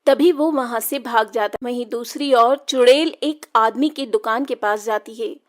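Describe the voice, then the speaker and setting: A woman's voice: on the high side, normal speed. A woman storyteller reading scripted narration for a children's story.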